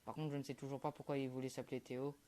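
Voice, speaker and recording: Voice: medium pitch, level tone, racing, mellow. A young streamer talks over his match in a loose, mumbled way. He drifts along with frequent fillers and half-finished thoughts, chatting to his viewers in an offhand manner. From Quebec, Canada. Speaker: gaming YouTuber. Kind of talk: casual gameplay commentary.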